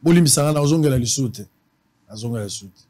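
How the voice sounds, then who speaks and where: A male host with a Congolese accent and a medium-pitched voice. He talks politics casually, moving back and forth between languages. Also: animated, very fast, mellow. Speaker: talk show host.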